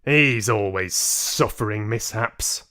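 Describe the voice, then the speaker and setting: A man's voice: fairly low pitch, slow, bright, forceful tone. A man voicing a large, coarse, easily irritated father in a brusque, pompous manner for a storybook. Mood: blustering, exasperated.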